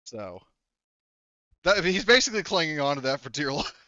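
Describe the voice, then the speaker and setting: A man's voice: on the high side, very animated, fairly quick, sharp tone, no room sound, dull audio. A man acting as game master, talking casually in a rambling, informal way with some swearing. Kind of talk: casual tabletop game narration.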